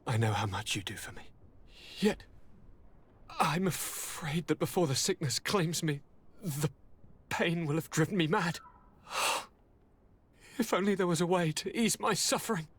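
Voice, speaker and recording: A husky young nobleman from a video game drama. He is sickly and weary, speaking in courteous, theatrical phrases broken by sighs, with a bitter edge. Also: fairly high pitch, expressive, unhurried, a bit bright, heavily acted. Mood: suffering, despairing. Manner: formal, theatrical, sighing. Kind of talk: video game cutscene acting.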